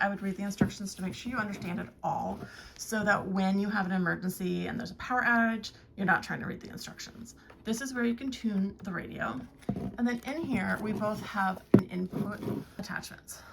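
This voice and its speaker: A woman's voice: mid-range voice, fairly bright tone. A woman giving a casual, plain-spoken product review, explaining features as she shows them. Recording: clear background noise, handling clicks.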